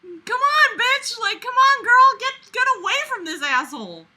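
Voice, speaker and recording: A woman's voice: extremely high pitch, big pitch swings, unhurried, sharp tone. A young woman reading a book aloud and breaking in with mocking, incredulous, sweary commentary in a theatrical, exasperated manner. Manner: mocking, sarcastic. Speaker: host of a comedic book read-along.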